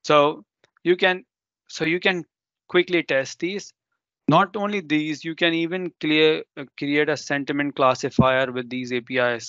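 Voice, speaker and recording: A man's voice: a little high, a bit bright, no room sound, highs cut off. A man whose first language is Urdu, presenting a live technical demo and explaining step by step with ums and self-corrections.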